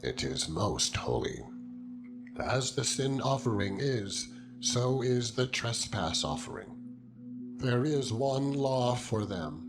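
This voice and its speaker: Guy doing a solemn dramatic bible reading, medium pitch, phrase by phrase, loud music underneath. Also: big pitch swings, slow pace. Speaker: scripture narrator. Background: loud instrumental music.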